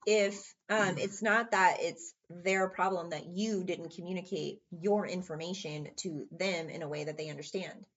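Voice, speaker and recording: Casting: soft, low-ish husky woman, a gentle talker, on a slightly dull recording. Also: steady tone, normal speed, hardly any pauses. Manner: says her voice is small and soft, not a yeller. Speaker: guest, former sheriff's deputy. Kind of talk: casual chat.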